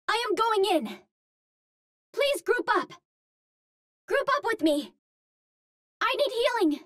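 An actress playing a cute, earnest young Martian heroine in a video game, speaking politely in precise, formal phrases. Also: female voice, extremely high pitch, a bit bright, exaggerated voice. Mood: alert, urgent. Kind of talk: video game character voice lines.

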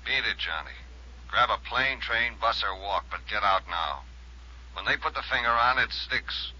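A man's voice: lowish voice, expressive, moderate pace, sharp tone, full character voice. An actor playing a cynical, drunken male newspaper reporter in a 1950s radio drama, talking in a sardonic, evasive way. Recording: dull old radio transcription.

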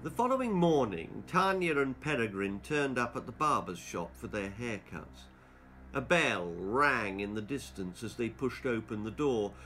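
Slow, animated male storyteller. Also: mid-range voice, faint music behind. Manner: expressive, deliberate. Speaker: author reading his own stories. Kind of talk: theatrical children's story narration. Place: small book-lined room indoors.

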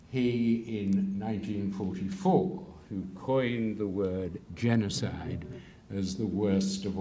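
An older man giving a keynote lecture from a lectern, measured and pausing, with wry asides. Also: sits low, warm tone, a little hiss or hum. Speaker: keynote speaker. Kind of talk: public lecture. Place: stage of a large hall. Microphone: stand mic at a lectern. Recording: echoey hall sound.

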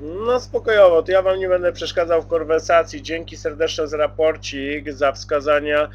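A man's voice: on the high side, steady tone, moderate pace, a little hiss or hum. A man chatting courteously and casually as a radio operator, using radio jargon. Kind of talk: casual radio contact chat. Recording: dull lo-fi CB radio audio.

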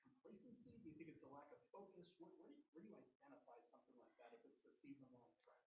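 A man's voice: on the high side, very animated, rapid-fire, a little mellow. Male reporter, probing question, heard from far off in a roomy space with faint hiss. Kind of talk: press conference question. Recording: distant roomy mic with hiss.

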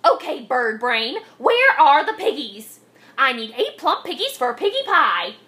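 A woman's voice: high voice, very animated, a little slow, pushed and bright, heavily acted. A woman voicing a cranky, bossy storybook witch who screeches, cackles and hurls insults. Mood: bossy, impatient. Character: cranky, bossy witch hunting pigs, shrieking and threatening.

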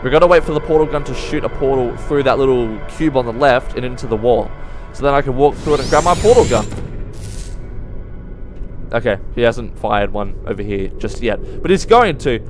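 Young male gamer, slightly hoarse dry voice, excitable, sudden yelps, mid pitch, game music playing behind. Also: brisk. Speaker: let's play gamer. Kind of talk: casual gameplay commentary.

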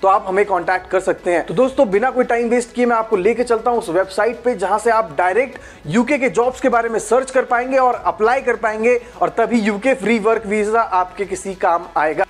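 Young man guiding viewers, slightly high voice. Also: fairly quick, hardly any pauses. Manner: friendly, repeatedly addresses viewers as friends. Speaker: YouTube channel host. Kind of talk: informal tutorial walkthrough. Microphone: lapel mic. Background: faint background music.

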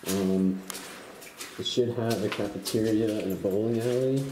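A man's voice: on the low side, moderate pace. A young man chatting casually and reacting aloud in loose slang as he explores an empty building for a vlog. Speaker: urban explorer and vlogger. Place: inside large abandoned hospital building. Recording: echoey empty building, noticeable background noise.

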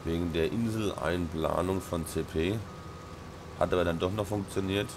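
A very deep-voiced man with a Rhine-Hessian German accent talks casually over his game, his voice hoarse and stuffy from a cold. He mumbles and fills pauses with plenty of ums. A faint hiss sits under the desk-mic recording. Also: steady tone. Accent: Rhine-Hessian German dialect. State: hoarse with a sore throat and blocked nose. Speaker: let's play host. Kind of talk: casual gameplay commentary.

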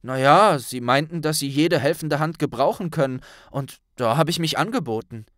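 A man's voice: fairly high pitch, normal speed, fairly bright tone, no echo, studio quality, pitched above the performer's own voice, natural voice. A man playing a blunt, loyal young male wizard friend with a colloquial way of talking in an audiobook. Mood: casual. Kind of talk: audiobook character voice.

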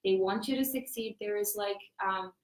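A woman's voice: mid-range voice, moderate pace. Young woman from Morocco, student ambassador, chatty with fillers, echoey room. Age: young adult. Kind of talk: casual panel chat. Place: meeting room with branded backdrop.

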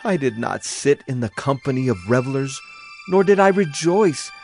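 A man's voice: mid-range voice, expressive, moderate pace, lightly acted. A man playing a sorrowful, earnest prophet in a dramatized scripture reading, solemn and declamatory. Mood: anguished pleading. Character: Jeremiah, sorrowful, earnest male Hebrew prophet. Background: music bed.

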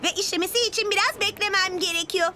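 Girl superhero leader, kids' animation: confident, earnest, extremely high-pitched. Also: fairly even intonation, quick pace. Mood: determined. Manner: earnest, instructing. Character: confident six-year-old girl owl superhero, leader. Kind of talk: dubbed children's cartoon acting. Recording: cartoon music behind.

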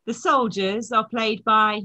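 A woman's voice: on the high side, fairly even intonation, slow, sharp tone. A middle-aged woman, a church minister, giving a formal welcome and introductions over a webcam from her home study.

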